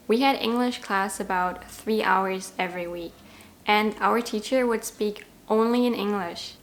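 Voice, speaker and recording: German woman in her twenties recounting. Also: mid-range voice. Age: 20s. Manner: reflective, candid, conversational. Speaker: language-learning vlogger. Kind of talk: personal storytelling to camera.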